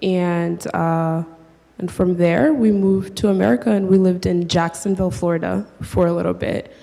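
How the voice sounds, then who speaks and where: A young woman from South Sudan, raised in Maine, introducing herself on a panel in a relaxed, easygoing way. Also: lowish voice, moderate pace, gravelly. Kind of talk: self-introduction. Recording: hall reverb.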